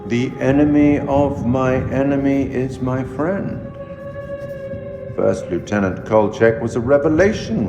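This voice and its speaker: An actor playing an enigmatic, sardonic male curator in a video game, speaking formally and theatrically to the player as he judges their choices. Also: mid-range voice. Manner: measured, formal, wryly sardonic. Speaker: narrator addressing the player. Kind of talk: theatrical scripted game narration. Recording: long hall reverb, music underneath. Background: music.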